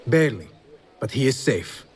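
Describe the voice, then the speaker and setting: An actor playing an earnest, composed young rebel in a video game, speaking in a measured, courteous way. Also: male voice, medium pitch, very animated, close to an everyday voice. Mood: calm, curious. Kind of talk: video game dramatic acting.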